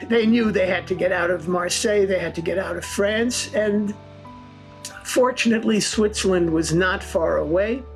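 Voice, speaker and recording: A man retelling a family story in a casual, conversational documentary interview, pausing on fillers now and then, with faint music behind him. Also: sits high.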